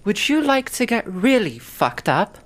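A woman playing a gentle, earnest and caring friend in a fan audio drama, speaking in formal, measured, careful phrases. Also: medium pitch, sing-song, very noisy. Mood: polite, tentative.

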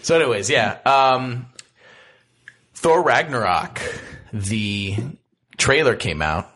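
A young man co-hosting a casual podcast chat, joking and laughing, his voice low from a cough. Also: animated, a bit bright. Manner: jokey, laughs often, talks quickly in bursts. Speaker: podcast co-host.

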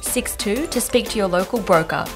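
A woman reads a scripted sponsor message smoothly, her voice at middle pitch. Faint music plays under her. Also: moderate pace. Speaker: ad reader.

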